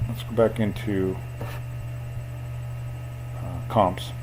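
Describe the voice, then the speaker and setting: Low-pitched man, flat and unhurried, for a screen tutorial with faint hum. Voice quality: self-described boring voice. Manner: plain, unhurried explaining with fillers.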